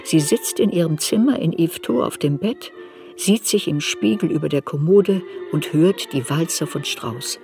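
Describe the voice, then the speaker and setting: Searching memoirist over music. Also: female voice, deep voice, a little mellow. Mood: reflective. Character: adult female memoirist, searching, unsparing, recalling her girlhood. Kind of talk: literary radio play narration.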